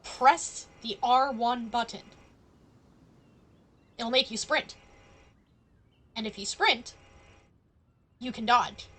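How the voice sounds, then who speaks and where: A woman live streamer giving playful, deliberate, mock-solemn commentary over a video game. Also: a little high, fairly bright tone.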